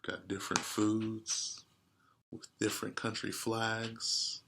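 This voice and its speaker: A man reading a storybook aloud and speaking directly to his listeners in a deliberate way. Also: lowish voice, flat delivery, creaky, pushed and bright, slight background noise. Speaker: read-aloud host. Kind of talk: storybook read-aloud with casual asides to listeners.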